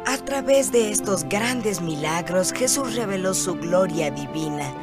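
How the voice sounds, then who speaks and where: A woman narrating an animated Bible film in a reverent, scripted storybook style. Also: on the low side, unhurried, loud music behind the voice.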